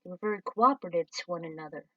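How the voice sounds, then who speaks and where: A woman's voice: lowish voice, rapid-fire, a bit bright, no room sound, recorded from a distance, dull audio. Woman casually reading a fan story aloud. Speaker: fan-fiction reader.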